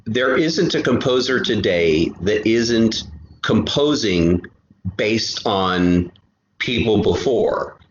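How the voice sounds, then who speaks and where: A man speaking as a podcast guest in an animated casual discussion, emphatic and often halting to repeat a phrase. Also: lowish voice. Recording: roomy, dull sound with faint noise.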